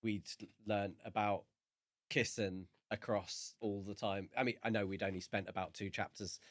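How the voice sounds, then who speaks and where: A man co-hosting a podcast, discussing a book in a casual, measured and reflective way. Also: lowish voice, flat delivery, dry recording. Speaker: podcast co-host.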